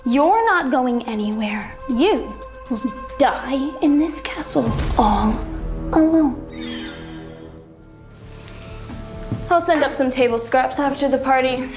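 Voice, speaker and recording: A woman's voice: sits high, lively intonation, unhurried, a little mellow. An actress playing a spoiled, spiteful young noblewoman in an audio drama, taunting with mock sweetness. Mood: gloating. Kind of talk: dramatised audio series acting. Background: music.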